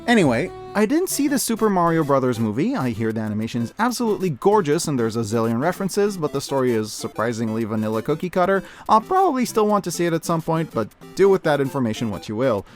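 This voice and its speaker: A man delivering an opinionated scripted review, emphatic and informal, given to hyperbole. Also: a little high. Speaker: video essayist reviewing media.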